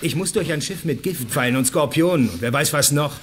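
A man's voice: a little high, a little fast, slight background noise, natural voice. An actor playing a bossy, sardonic man who leads a group of survivors in a German radio drama. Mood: commanding, defiant. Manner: commanding, sardonic.

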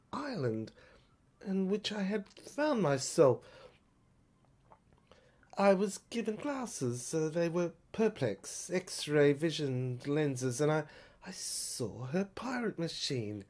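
A woman narrating a first-person audio story dramatically, halting and repeating phrases for effect. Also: lowish voice, darkish tone, slightly rough.

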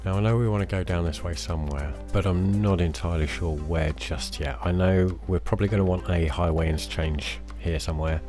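Hoarse low-voiced man narrating gameplay. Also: a little fast, few pauses. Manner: relaxed, self-deprecating, many fillers. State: hoarse, losing his voice. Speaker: let's play host. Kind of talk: casual game commentary. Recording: music playing behind the voice.

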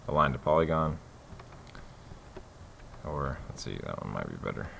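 Man with a very low voice, casual tutorial host, loose and halting delivery, light hiss behind. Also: gravelly. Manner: relaxed, many fillers and restarts. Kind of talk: casual screen-recorded tutorial.

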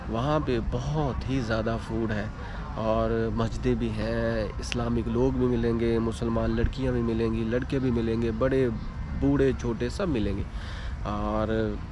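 A man's voice: medium pitch, steady tone, measured pace. A man in his thirties from Pakistan, chatting casually to his viewers in a selfie travel vlog, with frequent "uh" fillers, filmed on a phone held at arm's length in an outdoor park. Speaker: travel vlogger. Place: park outdoors, trees and benches. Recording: outdoor ambience and distant traffic. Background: outdoor park ambience with distant vehicles.

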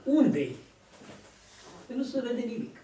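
A man's voice: extremely high pitch, big pitch swings, dark tone, a little hiss or hum. An older man preaching at a lectern, telling stories and acting out the lines of people in them. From Romania. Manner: storytelling, acts out quoted dialogue, gestures widely. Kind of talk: sermon. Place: church hall, at a lectern. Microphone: far camera. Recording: echoey hall from a distance.

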